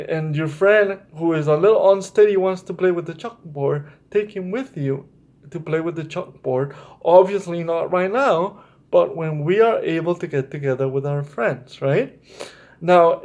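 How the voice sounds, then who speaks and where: A man's voice: fairly high pitch, darkish tone. A man hosting a children's story time, reading aloud and explaining things to young listeners in an encouraging, direct way. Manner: encouraging, addresses listeners directly with check-in questions.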